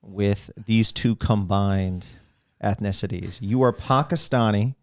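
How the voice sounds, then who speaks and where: A man with a low, smooth, resonant voice hosting a casual podcast. He opens the show and asks his questions slowly and carefully. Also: no echo, studio quality. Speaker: podcast host.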